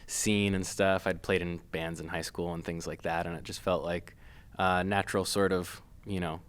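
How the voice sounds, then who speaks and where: Low-voiced man, halting and filler-heavy. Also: flat delivery, smooth. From Massachusetts. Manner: hesitant, many fillers and restarts. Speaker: guest filmmaker. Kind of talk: casual interview.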